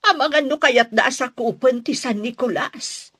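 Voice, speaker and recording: A woman's voice: high-pitched, normal speed, a bit bright, breathy edge, no echo, studio quality. A woman playing a concerned, compassionate villager in a radio drama, earnest and sympathetic. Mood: worried.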